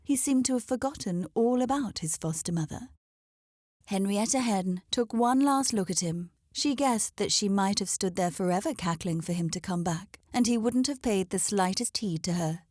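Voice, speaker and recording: A woman's voice: mid-range voice, lively intonation, normal speed, a little mellow, no room sound, studio quality. A woman narrating a children's storybook aloud as a scripted read-aloud.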